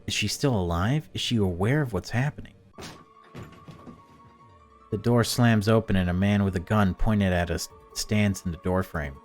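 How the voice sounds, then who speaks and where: A man's voice: on the low side, normal speed, soft background music. A man hosting a gaming video, reading a visual novel aloud and commenting on it casually.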